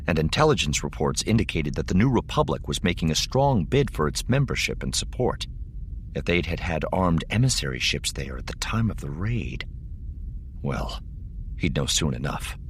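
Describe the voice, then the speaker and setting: A man narrates an audiobook in a deep voice. His delivery is dramatic and scripted, with faint noise behind him. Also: big pitch swings, normal speed.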